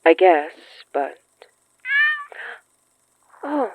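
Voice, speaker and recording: A woman playing a gentle, earnest young ghost summoner in an audio drama, speaking haltingly through a thin, radio-like filter. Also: lowish voice, big pitch swings, a little hiss or hum, full character voice. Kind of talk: intimate audio drama acting. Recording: thin, phone-like band-limited sound.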